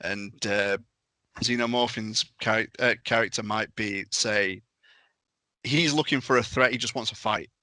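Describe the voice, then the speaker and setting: A man talks through examples in an informal, explanatory podcast style. His voice sits fairly low but has a bright, forward edge. The sound is close and dry. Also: measured pace, dry recording. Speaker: podcast panellist explaining a tabletop game. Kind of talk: casual podcast discussion.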